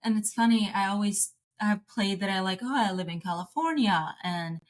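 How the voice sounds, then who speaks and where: A woman's voice: medium pitch, normal speed. Woman, chatty guest. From Slovakia. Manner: chatty, restarts and laughs often. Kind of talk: casual interview talk.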